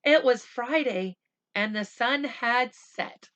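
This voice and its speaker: Woman reading aloud expressively to children. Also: a little high. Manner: expressive, slow and deliberate when reading, chatty when explaining; explains words simply, as if to children. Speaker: school reading teacher reading a picture book aloud for children. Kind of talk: read-aloud storytelling with casual explanatory asides.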